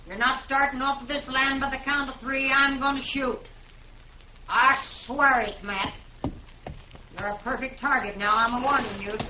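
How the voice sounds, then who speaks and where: Woman playing a sharp, forceful frontier homesteader. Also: sits high, fairly even intonation, normal speed, a little hiss or hum. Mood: stern, threatening. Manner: stern, threatening, clipped warnings. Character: defiant, armed female homesteader guarding her land. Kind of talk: old-time radio western acting. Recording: dull lo-fi radio drama recording.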